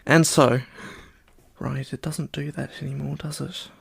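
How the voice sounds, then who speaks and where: A man co-hosting a casual film podcast, halting and dryly playful. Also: mid-range voice, little pitch movement, vocal fry, husky, no room sound. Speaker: podcast co-host.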